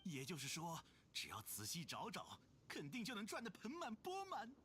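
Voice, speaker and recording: An actor voicing an eager, profit-minded treasure hunter in a video game, brisk and businesslike. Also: medium pitch, huge pitch swings, a bit bright, a little hiss or hum. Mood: eager, scheming.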